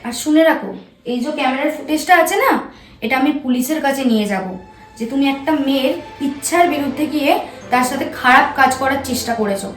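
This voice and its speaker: An assertive wife in a melodrama short , played by a woman, speaks in a high voice with firm, righteous insistence. The room echoes around her and music runs faintly behind. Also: fast talker, close to an everyday voice. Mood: stern, threatening. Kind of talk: short-film melodrama acting. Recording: roomy echo, faint background music.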